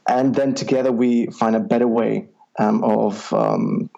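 Gravelly man on a dull call line. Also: lowish voice, very animated, a little slow, mellow. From Czech Republic. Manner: hesitant, many ums and restarts. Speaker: life coach, guest. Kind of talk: podcast interview over a call. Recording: dull lo-fi online call audio.

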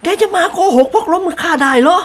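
A reader putting on the high, shrill voice of a sharp-tongued elderly noblewoman who scolds her servant in an audiobook. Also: fast, slight background noise, natural voice. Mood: scolding. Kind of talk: audiobook character voice.

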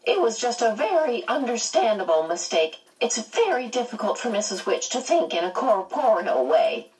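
Woman putting on a high, motherly old otherworldly lady. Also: sing-song, normal speed, a little mellow, far from the mic, rough recording. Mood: reassuring, brisk. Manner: fussy, reassuring, rambling.

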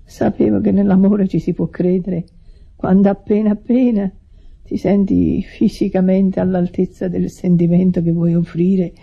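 Husky, creaky, devoted lonely sister. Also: female voice, medium pitch, little pitch movement, normal speed. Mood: sad, confessional. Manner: earnest, reproachful, confessional. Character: devoted, plain, lonely sister pouring out hurt. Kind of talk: Italian radio drama acting. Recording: dull vintage radio drama recording.

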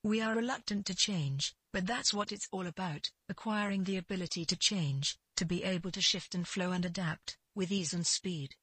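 A narrator reads a scripted spiritual advice reply in an earnest, measured and emphatic manner. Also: medium pitch, fairly bright tone, slightly rough, no echo. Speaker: spiritual advisor answering a letter.

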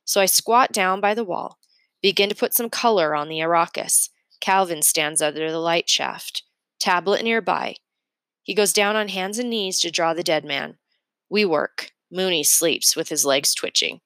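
A woman with a fairly low voice reads a storybook aloud. She narrates in the first person with expression, as in a read-aloud for children. The sound is dry, without room echo. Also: a bit bright. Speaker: reader of a children's novel aloud.

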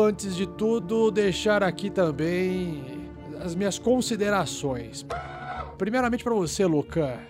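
A man plays a mercenary hacker recluse in a tabletop game. He is sardonic and theatrical, with a fairly high voice over clear background music. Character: cocky, mercenary male hacker shut-in in wheelchair.

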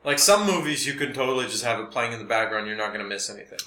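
A man chatting casually as a podcast co-host, telling stories in an animated way and acting out bits of dialogue. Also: mid-range voice, very fast. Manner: animated storyteller, quotes people in dialogue. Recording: roomy, echoing room sound.

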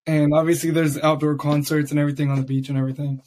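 Raspy young man hosting a podcast. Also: a little high, level tone, rapid-fire, darkish tone. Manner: loose and rambling, many fillers. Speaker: podcast host. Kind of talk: casual chat.

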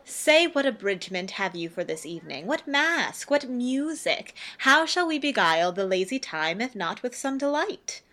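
A woman playing a stately, genial and skeptical duke, with measured declamation in a Shakespearean radio play. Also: fairly high pitch, big pitch swings, sharp tone. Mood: genial, curious.

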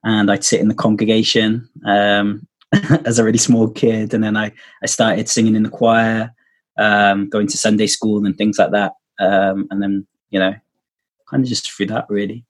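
A man's voice: on the low side, little pitch movement, moderate pace. A man chatting casually as a podcast guest, rambling with lots of fillers and restarts, heard over a video call. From Wales. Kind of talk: casual testimony chat.

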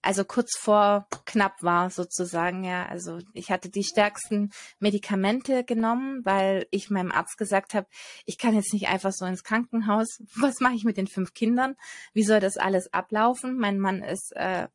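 A woman telling her personal story in a casual podcast chat, earnest and hesitant, pausing often on fillers. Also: mid-range voice, fairly even intonation, dry recording. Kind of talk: personal testimony in casual conversation.

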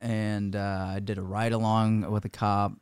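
A man's voice: lowish voice, little pitch movement, moderate pace, a little mellow, clear, resonant tone, no room sound. A man in his twenties from Florida, a guest in a podcast studio, telling his story in an easygoing, casual way. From Florida, USA. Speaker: guest entrepreneur. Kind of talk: casual interview chat.

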